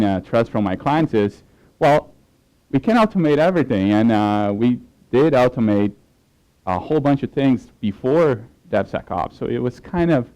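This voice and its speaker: A man with a Portuguese accent gives a technical talk at a conference. His voice sits fairly low and sounds mellow and smooth. He speaks in a relaxed way, with many fillers and restarts. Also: low-quality recording.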